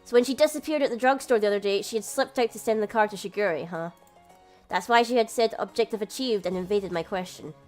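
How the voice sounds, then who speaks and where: A woman's voice: medium pitch, quick pace, a little mellow, soft background music, pitched below the performer's own voice, lightly acted. A woman reading a visual novel aloud, voicing a sheepish young man who narrates and argues with his family. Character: young adult man, a flustered older brother who narrates.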